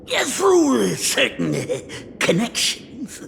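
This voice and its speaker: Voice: medium pitch, wildly swooping pitch, slow pace, vocal fry, fairly bright tone, long reverb tail, a little hiss or hum, exaggerated voice. An actor voicing a boastful, sly and jovial aging gang boss in a video game, in a theatrical manner. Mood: boastful, scheming. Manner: theatrical, chuckling, swinging between mutters and exclamations.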